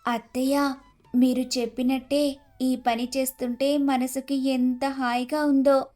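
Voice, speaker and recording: Woman doing sweet young daughter-in-law in a story, high soft-toned voice, earnest and respectful. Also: little pitch movement, measured pace, soft background music. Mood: eager. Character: eager, grateful, trusting young daughter-in-law. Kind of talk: scripted storybook acting.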